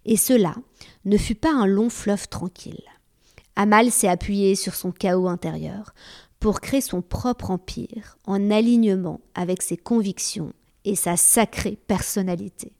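A woman's voice: mid-range voice, unhurried, vocal fry, a little mellow. A woman hosting a podcast, reading a scripted introduction and then asking friendly, encouraging questions. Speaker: podcast host.

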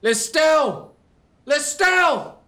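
A man's voice: extremely high pitch, lively intonation, takes it slowly, bright, forceful tone, heavily acted. A man playing a gallant, confident young lover, earnest and self-assured, in a gothic comedy audio drama. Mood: alarmed.

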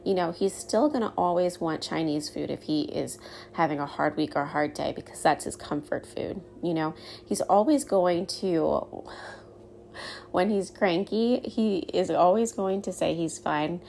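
A woman's voice: lowish voice, unhurried, creaky, a little mellow, slight background noise. A woman, hosting a casual solo podcast and talking candidly, with lots of "you know" and "um". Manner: chatty and candid, many fillers like "you know" and "um". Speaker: podcast host.

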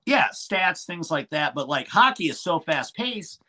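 A man's voice: on the high side, big pitch swings, unhurried, sharp tone. A man, a wrestling manager and commentator, chatting casually in a podcast interview with frequent restarts and fillers.

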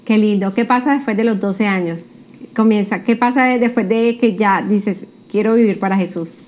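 A woman's voice: medium pitch, moderate pace, a little mellow. Effusive woman hosting an interview. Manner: effusive, frequent affirmations. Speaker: host.

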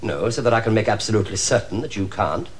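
Man acting a stern male hero, low voice, dry, distrustful, TV drama, faintly noisy old soundtrack. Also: expressive, fast talker, close to an everyday voice. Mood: stern scepticism. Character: stern, sceptical male hero questioning his old enemy. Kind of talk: 1970s television drama acting.

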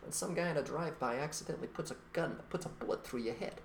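A man's voice: on the high side, fast talker, creaky, slight background noise. A man co-hosting a podcast, talking in a blunt, emphatic way and making rhetorical points in an opinionated conversational monologue. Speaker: podcast co-host.

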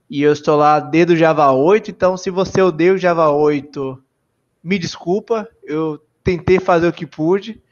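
Bahian guy chatting on a live stream, bit high voice, jokey and self-mocking, muffled audio. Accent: Bahian Brazilian Portuguese. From Salvador, Bahia, Brazil. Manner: self-deprecating, jokey, full of filler tags. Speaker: software engineer guest. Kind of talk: casual livestream chat.

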